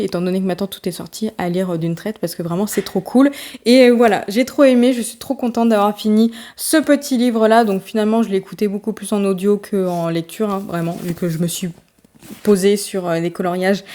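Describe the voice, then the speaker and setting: A woman's voice: medium pitch, dark tone. A woman chatting casually in a book vlog, talking loosely with many fillers and restarts. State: congested with a summer cold, tired. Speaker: book vlogger.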